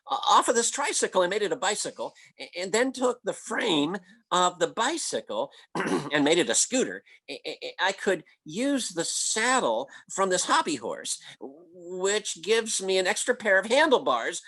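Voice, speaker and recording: Man playing a stammering, blustering husband with swooping pitch. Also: sing-song, a little slow, fairly bright tone, heavily acted. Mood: flustered, eager. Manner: stammering, flustered, folksy exclamations. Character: blustering, bumbling middle-aged husband, handyman and tall talker. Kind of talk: old-time radio comedy acting.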